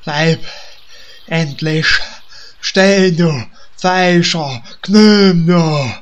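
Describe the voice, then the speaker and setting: A naive, self-important holy knight, played by a man with an East German accent. He plods along slowly in a slightly high voice, touchy and grand, as in a comic fantasy audio play. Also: highs cut off. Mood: solemn battle cry. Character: dim, touchy, pompous male paladin from East Germany. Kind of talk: comedic fantasy audio drama.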